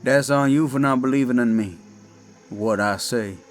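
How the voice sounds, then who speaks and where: A man voicing a menacing Cajun werewolf of the swamps, with a Cajun accent and a slow, taunting drawl. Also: mid-range voice, slight background noise, close to an everyday voice. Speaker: villain in audio drama. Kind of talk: horror audio roleplay. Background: faint eerie music and crickets.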